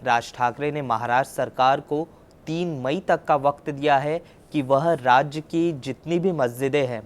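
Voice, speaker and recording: A man's voice: mid-range voice, smooth. A man presenting a news report, explaining as he goes and often restating his points.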